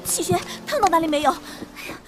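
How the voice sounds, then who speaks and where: A woman's voice: very high voice, lively intonation, fairly quick, over music. A woman acting as a pop star's protective agent in a radio drama, fussing and scornful. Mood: concerned, annoyed. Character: protective, sharp-tongued female agent of a pop star. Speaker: voice actor.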